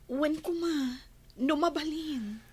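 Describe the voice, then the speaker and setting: A woman playing a gentle, worried young lady in an Ilocano radio drama, soft and earnest. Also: sits high, takes it slowly. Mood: tender, evasive.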